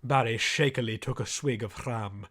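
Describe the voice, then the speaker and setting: Deadpan male audiobook narrator, mid pitch. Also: moderate pace. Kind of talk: scripted comic story narration.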